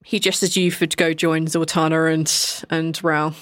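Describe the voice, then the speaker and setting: Low-voiced woman narrating a tabletop game. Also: level tone, normal speed, no echo, clean studio sound. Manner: informal, conversational storytelling. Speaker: game master.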